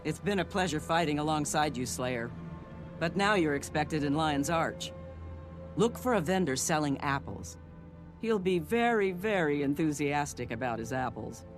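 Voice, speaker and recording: An actor playing a confident male assassin of a secret order in a fantasy video game, deliberate and coolly eager. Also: on the high side, animated, lightly acted. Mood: confident, approving. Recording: prominent music behind the voice.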